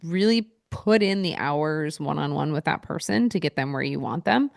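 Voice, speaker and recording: A woman with a notably low voice full of gravelly fry co-hosts a casual podcast. She talks in a chatty, teasing way, recorded dry and close with no room sound. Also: lively intonation, moderate pace, no echo. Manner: chatty, laughs easily, teasing. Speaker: podcast co-host, photography business owner.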